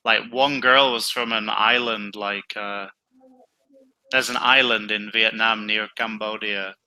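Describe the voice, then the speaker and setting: A middle-aged man with a slightly low, very bright voice, talking unhurriedly. He chats easily and tells stories at a slow, relaxed pace, as a tutor in casual conversation practice. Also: poor audio. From England. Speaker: online English tutor.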